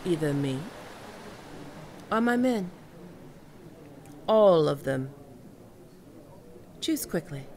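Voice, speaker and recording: A woman playing a commanding, mocking and seductive female pirate captain in an audio roleplay, with theatrical, teasing, drawn-out menace. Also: sits low, lively intonation, darkish tone, a little hiss or hum, heavily acted. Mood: menacing, appraising. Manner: theatrical, teasing, drawn-out menacing delivery with archaic turns of phrase. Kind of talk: ASMR roleplay acting.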